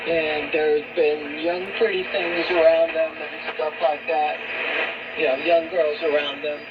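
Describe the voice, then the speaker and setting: A woman's voice: sits low, flat delivery, measured pace, muffled recording. Woman on very noisy shortwave radio. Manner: questioning, skeptical. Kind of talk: conversational political talk radio.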